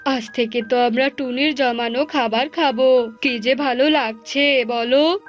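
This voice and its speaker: A woman voicing a greedy, scheming male cartoon bird, bossy and gloating with drawn-out words. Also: sits high, fairly even intonation. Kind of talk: Bengali cartoon bird-fable acting. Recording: dull lo-fi audio with music.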